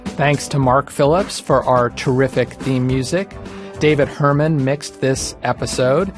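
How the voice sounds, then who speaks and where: A man's voice: mid-range voice, measured pace, over music. A man co-hosting a podcast, reading credits and chatting casually. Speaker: podcast co-host.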